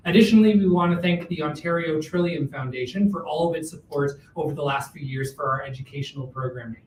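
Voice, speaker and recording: A man giving a live welcome speech as host of a theatre event. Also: fast talker. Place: indoor venue with in-person audience. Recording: echoey room, distant mic, faint rumbling noise.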